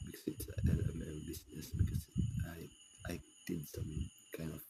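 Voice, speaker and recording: A young man with a hoarse, raspy voice from a cough, talking hesitantly and rambling in a personal vlog, English not his first language. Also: extremely low pitch, completely flat delivery, normal speed. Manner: hesitant, rambling, soft-spoken. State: hoarse from a cough and dry throat. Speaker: vlogger. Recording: loud background noise.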